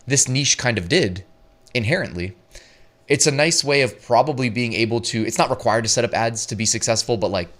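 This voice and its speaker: A man hosting a weekly online business show in a casual, chatty way, his voice slightly hoarse, often laughing and making asides. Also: lowish voice. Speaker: print-on-demand show host. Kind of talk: casual talking-head business tips.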